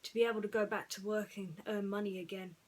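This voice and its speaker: A woman storyteller talking in a musing, unhurried way, with pauses, as in bedtime reading. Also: mid-range voice, steady tone, rough recording.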